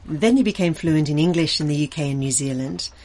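A woman with a fairly low voice introduces her guest from a script. She then asks her questions in a measured, articulate way. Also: a little mellow. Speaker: interviewer and presenter.

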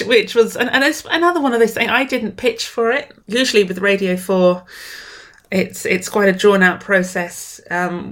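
A woman writer speaks in a slightly low register during a relaxed interview. She sounds warm and excitable, breaking into laughter often and sometimes repeating words as she gathers her thoughts. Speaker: writer guest. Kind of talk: casual interview conversation.

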